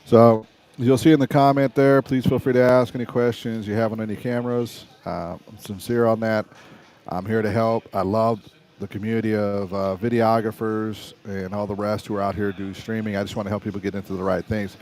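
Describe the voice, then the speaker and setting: A middle-aged man with a raspy voice, hosting a casual livestream about cameras in a loose, stop-start way. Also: fairly low pitch, little pitch movement, fairly quick, darkish tone. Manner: conversational, stop-start, salesy about gear. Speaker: livestream host and videographer.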